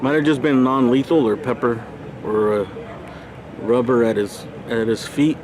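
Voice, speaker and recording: A man's voice: medium pitch, steady tone, moderate pace, noisy background. A man chatting casually as a live streamer, slangy and prone to swearing, with bro-talk.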